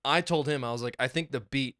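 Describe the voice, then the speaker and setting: A young man co-hosting a podcast, talking excitedly and swearing a lot as he rambles through stories about mixing music in his small home studio. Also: medium pitch, quick pace, dry recording. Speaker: podcast co-host and music producer. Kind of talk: casual chat.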